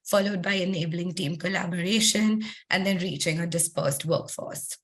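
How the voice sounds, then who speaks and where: Woman presenting, low-ish slightly hoarse voice. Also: fairly even intonation, normal speed. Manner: conversational, with frequent ums and throat clearing. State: losing her voice. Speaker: webinar presenter. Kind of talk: presenting survey results in a webinar. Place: online webinar.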